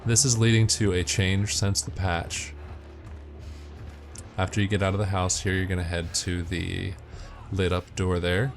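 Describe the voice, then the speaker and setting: A man's voice: low-pitched, flat delivery, unhurried, long pauses, soft background music. A man giving matter-of-fact, step-by-step instructional commentary as he narrates a video game walkthrough.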